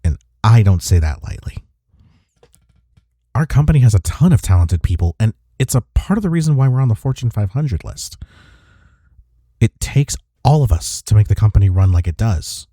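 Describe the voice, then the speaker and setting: A man acting in an intimate audio roleplay as a gruff but caring office rival, speaking in a husky, soft, reassuring way. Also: low voice, dry recording, clean studio sound, heavily acted.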